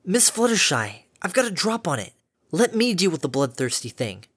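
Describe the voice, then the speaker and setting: A woman's voice: fairly low pitch, sing-song, moderate pace, slightly rough, pitched above the performer's own voice. A woman voicing a cocky, foul-mouthed female pony adventurer with a sarcastic edge. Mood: exasperated.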